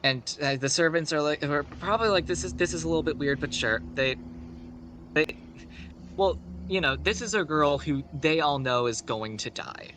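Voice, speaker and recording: Bright-voiced man telling a rambling story. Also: fairly high pitch, moderate pace, a little hiss or hum. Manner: rambling, conversational asides. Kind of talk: casual improvised storytelling.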